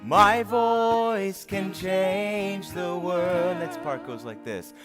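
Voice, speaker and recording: A man teaching a short song at a synagogue service, speaking easygoing asides and singing each line slowly for others to repeat. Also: high-pitched. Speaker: song leader. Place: synagogue sanctuary. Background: guitar.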